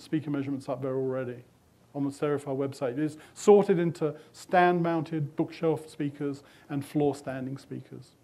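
A man's voice: on the high side, darkish tone. An older man with a British accent, a magazine editor answering audience questions at a conference talk in a hotel meeting room. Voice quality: thoughtful, loose explanatory manner with restarts. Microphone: podium mic, speaking away from it.

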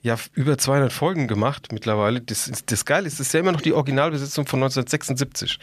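A middle-aged man with a husky, slightly rough voice at an ordinary male pitch. He chats as a podcast co-host in colloquial, enthusiastic language. The sound is close and dry, with little room. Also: rapid-fire, no room sound. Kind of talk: casual podcast chat.

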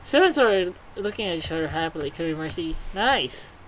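A woman's voice: lowish voice, animated, fairly quick, a little hiss or hum, low-quality recording. A woman hosting a let's play, reading game dialogue aloud and reacting casually. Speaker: gaming video host.

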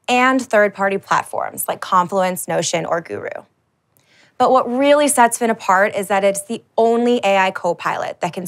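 Woman in her 30s doing a scripted product demo, medium pitch, upbeat and really clear wording. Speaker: product marketing presenter. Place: large dark indoor studio stage.

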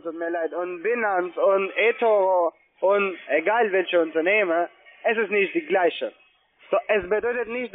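Male phone agent, non-native German with a foreign accent, high pitch, insistent and repetitive, muffled phone line. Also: slight background noise. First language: not German. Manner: insistent, halting phrasing with repetitions. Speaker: call-centre phone agent. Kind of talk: argumentative sales phone call.

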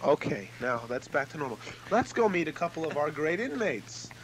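A man's voice: a little high, big pitch swings, moderate pace. A young man improvising a comedy skit in a hesitant guide's manner on a homemade seventies cassette. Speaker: amateur skit performer. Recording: noisy homemade 1970s cassette tape.

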